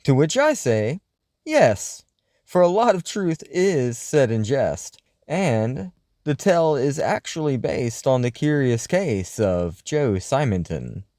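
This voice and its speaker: A man's voice: mid-range voice, big pitch swings, slow pace, a little mellow, no echo, clean studio sound. A man playing a flamboyant late-night paranormal radio host, telling stories in a grandiose, wordy showman style. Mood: playful. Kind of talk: scripted comedic radio monologue and storytelling.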